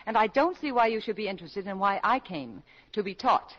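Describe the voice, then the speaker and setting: A woman's voice: medium pitch, expressive, brisk, a bit bright. An actress playing a mature, genteel woman new to college, polite and easily flustered, in 1940s radio style. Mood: indignant, prim. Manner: polite, hesitant, ladylike, with prim indignation. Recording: dull 1940s radio broadcast.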